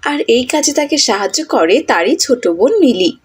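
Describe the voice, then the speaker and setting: A woman's voice: high-pitched, lively intonation, normal speed, slightly rough. A woman reading scripted story narration for a cartoon.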